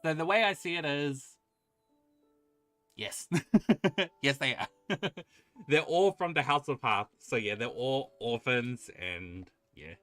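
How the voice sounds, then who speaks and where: A man's voice: mid-range voice, takes it slowly, a bit bright, soft background music. A man streaming a game, giving casual live commentary and chatting to viewers in a friendly, welcoming way.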